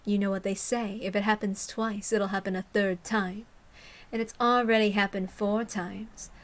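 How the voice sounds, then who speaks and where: A woman's voice: mid-range voice, little pitch movement, normal speed, close to an everyday voice. Woman putting on a sly, refined teasing lady. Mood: sly, ominous. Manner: teasing, cryptic, genteel.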